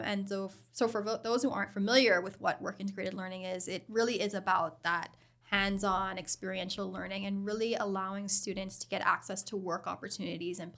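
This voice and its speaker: A middle-aged woman from the Bahamas, answering interview questions in a friendly, measured way and laughing easily. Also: mid-range voice, gravelly, a bit bright. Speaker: guest, program director.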